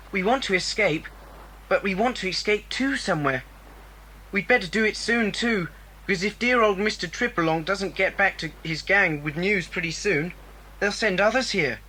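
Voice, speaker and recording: A practical, questioning boy in a children's adventure drama. The voice sits at a medium pitch and is matter-of-fact, with faint cassette hiss behind it. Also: expressive, moderate pace, bright, forceful tone, rough recording. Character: Philip, sensible practical boy, one of the children. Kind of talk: children's audiobook drama acting.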